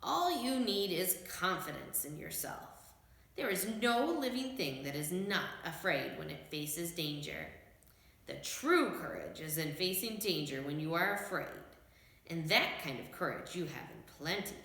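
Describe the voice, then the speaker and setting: A woman's voice: on the low side, expressive, measured pace, gravelly, a bit bright, full character voice. Woman reading as an apologetic old humbug wizard, echoey room. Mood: meek, reasoning. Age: older. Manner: meek, reasoning, confessional.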